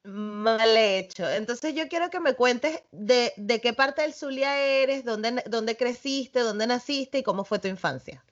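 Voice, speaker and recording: Woman hosting a podcast, muffled sound. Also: a little high. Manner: chatty, with quick affirmations. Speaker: podcast host. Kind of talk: conversational interview. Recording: dull lo-fi audio.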